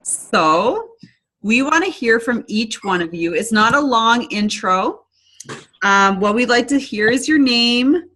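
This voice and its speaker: A woman's voice: a little high, pushed and bright. A woman hosting a casual online business presentation, chatty and upbeat, with frequent ums and restarts. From Canada.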